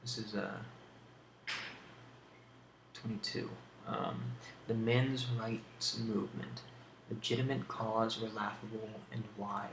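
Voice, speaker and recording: A man hosting a casual ASMR question-and-answer video, speaking in a halting, rambling, hesitant way on an amateur home recording. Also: fairly low pitch, steady tone, creaky, echoey room, background noise. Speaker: video host.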